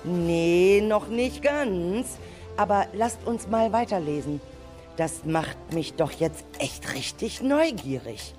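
A woman acting as a grown-up companion in a children's radio play, speaking slowly in a fairly low voice. She is sceptical yet curious and reacts with theatrical expression, with some music behind her. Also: expressive. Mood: surprised, curious. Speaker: adult cast member in a children's radio play. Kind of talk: amateur audio drama acting.

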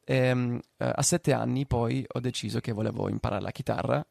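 A man with a gravelly edge to his voice talks about his family and music as a radio guest, easygoing and full of little fillers. He grew up in Germany, and the studio sound is dry and close. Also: medium pitch, brisk, no echo. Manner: relaxed storytelling with fillers, switching between German and Italian. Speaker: guest singer. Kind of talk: casual radio interview.

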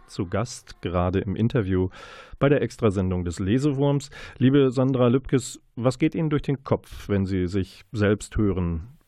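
A man's voice: deep voice, mellow. A man hosting a conversational radio interview, addressing his guest politely and formally.

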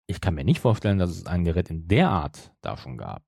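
A middle-aged man chats casually as a podcast host. His voice is low and slightly husky with very animated intonation, and he tends to explain his points carefully. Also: fairly quick, no room sound, studio quality. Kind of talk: casual chat about an audio drama series.

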